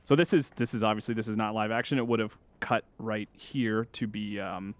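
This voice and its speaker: A man's voice: fairly low pitch, steady tone, a little fast. A man hosting a game show segment, chatting casually and enthusiastically as he explains gameplay. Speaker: video game journalist, host. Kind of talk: casual chat, commentary over gameplay.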